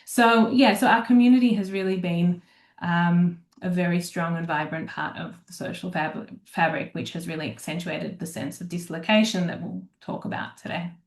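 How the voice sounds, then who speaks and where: A woman's voice: on the low side, steady tone. Woman in a roomy, echoey space. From Australia. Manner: measured, many fillers. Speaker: author, panel guest. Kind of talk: panel discussion.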